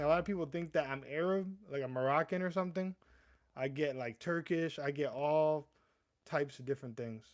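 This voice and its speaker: A young man from the US East Coast hosting a casual livestream, rambling with frequent stammers and restarts and calling viewers "bro". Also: on the high side. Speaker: livestream host. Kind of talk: casual live chat.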